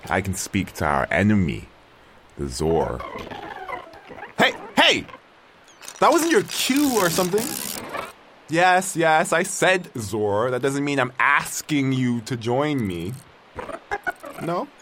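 A man's voice: medium pitch, wildly swooping pitch, slow, long pauses, fairly bright tone, slight background noise, exaggerated voice. A man playing a sardonic, easily irritated young exiled tribesman recording an audio journal in a drama. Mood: annoyed. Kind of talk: audio drama acting, first-person journal monologue.

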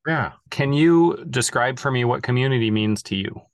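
A man's voice: mid-range voice, moderate pace, dry recording. A man hosting a podcast over a remote call, eager and repeating words as he starts sentences. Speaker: podcast host. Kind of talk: casual interview chat.

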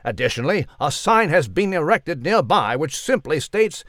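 A man's voice: fairly high pitch, big pitch swings, moderate pace, a bit bright, dry recording, studio quality. A man voicing a prim, courteous, wordy mouse newsreader with a British accent for a children's podcast. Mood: pompous, earnest. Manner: pompous, wordy, formal. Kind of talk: mock news report in a children's podcast.

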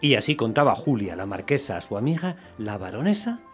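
A man's voice: medium pitch, very animated, fairly quick, over music, natural voice. A man narrating a scripted story in the style of radio theatre.

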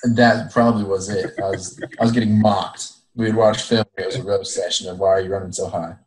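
A young man, a college football player, calmly recounting his story in a casual interview. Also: low voice, fairly quick, roomy sound, rough recording. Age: young adult.

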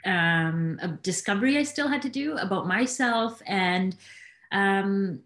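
A woman's voice: medium pitch, a bit bright. Hesitant woman in an echoey room. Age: 30s. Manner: hesitant, many pauses and "um"s. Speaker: panel guest. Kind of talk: panel discussion. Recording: roomy echo.

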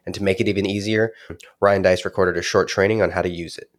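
A man reading a scripted promotional spot for a podcast in a brisk, pitching manner. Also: steady tone. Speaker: podcast ad reader. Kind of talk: scripted ad read.

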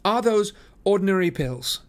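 A man reading aloud and voicing a brilliant, eager male detective in a raised, excited tone. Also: normal speed. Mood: brisk, confident. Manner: excited, exclamatory, raised high voice.

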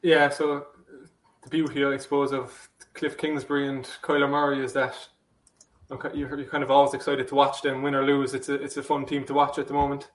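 An Irish man talks sports on a podcast in a fairly flat, even voice, stumbling and repeating himself now and then. He sits well back from the mic, so his voice comes through roomy and distant. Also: medium pitch, brisk. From Ireland. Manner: hesitant, repeats words, laughs easily. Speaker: podcast guest. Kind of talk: casual sports chat.

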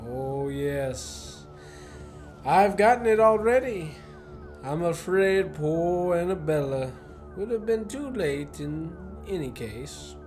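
A man acting in a student radio play as a courteous, menacing gentleman professor who is secretly a spy chief. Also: fairly high pitch, lively intonation, slow, slight background noise. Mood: smoothly menacing. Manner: courteous, genteel, theatrically menacing.

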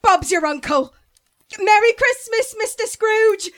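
A woman's voice: very high voice, expressive, unhurried, a bit bright, exaggerated voice. A woman playing a kindly, polite Victorian Englishwoman in a radio play, hesitant and startled. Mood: astonished, merry. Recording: noisy, clicky recording.

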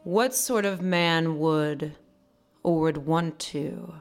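A woman's voice: deep voice, drawn-out words, soft background music, heavily acted. An actress playing a knowing, worldly woman who taunts a naive girl in a condescending, insinuating way, in a live radio drama. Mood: taunting.

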